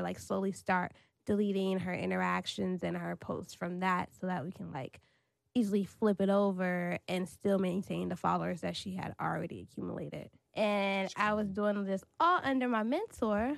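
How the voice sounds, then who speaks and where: Young woman, gravelly fry, dry close sound, casual rambling chat, lots of fillers. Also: mid-range voice, no room sound. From North Carolina, raised in Washington DC. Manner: relaxed, rambling with many "like" fillers. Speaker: guest.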